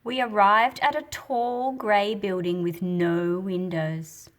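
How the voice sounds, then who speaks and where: A woman reading a storybook aloud and explaining it as she goes, in an expressive, deliberate manner with a slightly husky, breathy quality. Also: mid-range voice. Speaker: picture book read-aloud host. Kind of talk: storybook read-aloud with teaching commentary.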